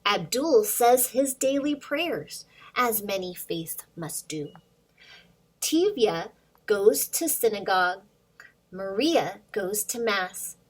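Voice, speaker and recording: A woman's voice: fairly high pitch, very animated, slow, rough recording. A woman hosting storytime for young children, reading aloud expressively with sing-song emphasis and addressing questions to young listeners.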